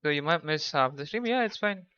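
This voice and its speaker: A man's voice: on the high side, lively intonation, fairly quick, bright, forceful tone, clean tone. A man hosting a casual gaming livestream, chatting with viewers in a half-distracted way. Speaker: game streamer, host. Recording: dull, lo-fi microphone sound.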